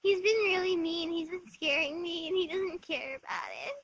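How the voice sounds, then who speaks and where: A woman with a childlike high voice, doing playful family role-play banter in a teasing, mock-scolding way. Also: moderate pace, a crowd in the background. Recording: music clearly behind the voice.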